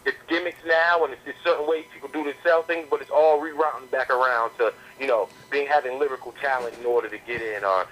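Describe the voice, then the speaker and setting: Man from the American South, quick pace, slangy casual interview talk, repeated words, slightly noisy landline call. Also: medium pitch, steady tone, fairly bright tone. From the southern United States. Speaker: interview guest, indie rapper.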